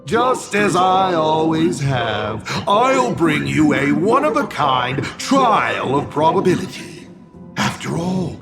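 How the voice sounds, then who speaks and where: A man voicing a teasing, theatrical talking die in a video game, smooth-talking and mock-polite. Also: fairly high pitch, heavily acted.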